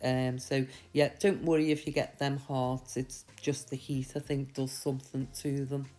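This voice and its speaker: A woman with a slightly croaky voice from a mild sore throat, giving a chatty, reassuring, step-by-step tutorial. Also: rumbling low, flat delivery, normal speed, dark tone, soft background music. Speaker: nail art tutorial host. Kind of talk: casual step-by-step tutorial voice-over.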